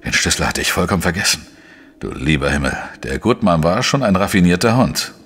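A male actor playing a shrewd, dry investigative journalist who talks in a measured, explanatory way in a crime radio play. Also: sits low, big pitch swings, a bit bright, slightly rough, in a large hall, exaggerated voice. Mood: musing. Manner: measured, explanatory, matter-of-fact. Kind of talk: German crime radio play, narration and dialogue.

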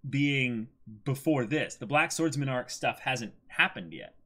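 A man in his twenties giving animated reaction commentary and dramatic reading on a stream, in an excitable, exclaiming manner. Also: medium pitch, a little slow. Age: 20s. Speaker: streamer reading comics aloud.

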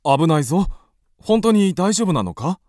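A man's voice: high voice, big pitch swings, normal speed, darkish tone, no room sound, studio quality. An actor playing a dignified, cautious father in a radio drama, with a formal, authoritative manner. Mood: tense warning. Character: dignified father, stern and cautious master of the house.

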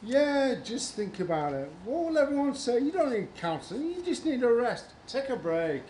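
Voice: medium pitch, big pitch swings, normal speed, a little mellow, close to an everyday voice. A British amateur performer playing a scornful, goading voice of bad conscience in a radio play. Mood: coaxing. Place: large conference room before an audience. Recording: echoey, slightly noisy hall.

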